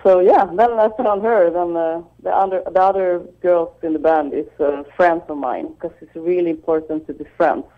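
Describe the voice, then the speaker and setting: A Swedish woman, a guitarist, talking on the phone in halting English with a Swedish accent and frequent laughter. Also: fairly low pitch. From Sweden. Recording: dull phone line.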